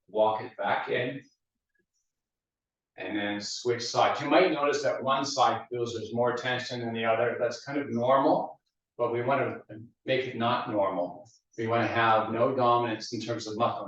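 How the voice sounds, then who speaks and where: A male fitness instructor, slightly hoarse, calmly cues each movement of a mat routine. His voice sits at a middling pitch and comes from some way off the microphone. The studio around him rings with a heavy echo, and the sound is a little dull. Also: recorded from a distance. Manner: calm step-by-step cueing. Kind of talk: guiding a mat mobility class.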